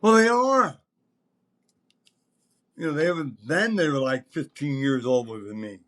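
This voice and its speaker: An older man in his seventies with a slightly high-pitched voice tells stories in a casual podcast chat. He rambles from detail to detail, leans hard on his points and swears freely. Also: unhurried, a bit bright. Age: older, around 70s. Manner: rambling, salty-tongued, emphatic. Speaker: podcast host telling stories as a former concert promoter. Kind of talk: casual anecdotal storytelling.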